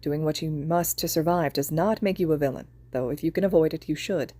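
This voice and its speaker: A woman reading as a gentle male hero, earnest, soft, low, dry close sound. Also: a little fast, no room sound.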